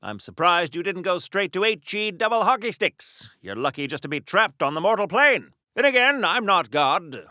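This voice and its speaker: Naive retro superhero, video game, crisp forceful brightness, mock-formal wisecracks, 1940s radio flair, dry studio sound. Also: male voice, sits high, very animated, moderate pace, hardly any pauses, dry recording, heavily acted. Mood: breezy, quizzical. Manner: theatrical, wholesome, politely oblivious, mock-formal. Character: chipper, naive old-fashioned Golden Age superhero wisecracker.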